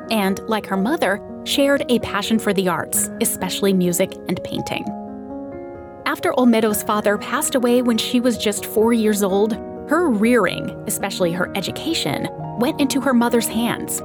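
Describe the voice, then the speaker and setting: A woman hosting an art history podcast, reading a scripted narration in a conversational way with wry asides. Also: a little high. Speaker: podcast host.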